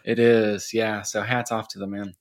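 A man's voice: on the low side, level tone, normal speed, no echo. Easygoing man from Guatemala, dry sound. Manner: easygoing, modest. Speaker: guest theologian. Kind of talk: conversational interview answers.

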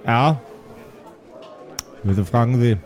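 A man playing a courteous, matter-of-fact cook with metal limbs, formal and measured, in a tabletop audio game. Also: mid-range voice, darkish tone, some chatter behind, natural voice.

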